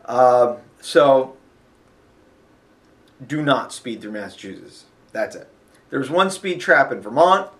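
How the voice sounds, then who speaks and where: A man's voice: mid-range voice, lively intonation, slow pace, pauses often, a bit bright. A man with a sniffly nose, chatting casually and rambling about a road trip, full of fillers. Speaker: vlogger. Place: hotel.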